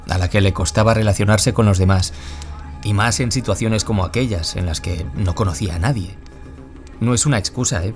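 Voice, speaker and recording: Guy acting gentle hurt young author in audio drama interview, deepish voice, reflective, music behind. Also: lightly acted. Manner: confessional, reflective, measured. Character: gentle, wounded young man, former bullying victim turned author.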